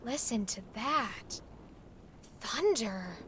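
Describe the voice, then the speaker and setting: A woman's voice: on the high side, lively intonation, extremely slow, a bit bright, a little hiss or hum, full character voice. A woman playing a chirpy, sociable young guest in an old-time radio drama recreation, breezy and theatrical. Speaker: radio play actor.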